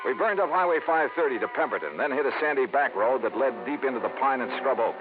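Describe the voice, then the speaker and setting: A man's voice: medium pitch, animated, fast talker, natural voice. Man playing a tinny-sounding hardboiled American investigator. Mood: wry, dramatic. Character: tough, decisive hardboiled male insurance investigator, narrating. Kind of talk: old-time radio drama narration and dialogue. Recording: tinny vintage radio recording, music.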